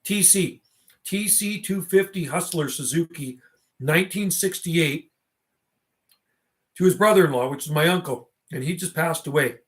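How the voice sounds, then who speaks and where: A man's voice: a little high, normal speed. A middle-aged man rambling casually on a livestream, talking in a slangy, reminiscing way. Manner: slangy, reminiscing, often ends phrases with "man". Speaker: livestream host.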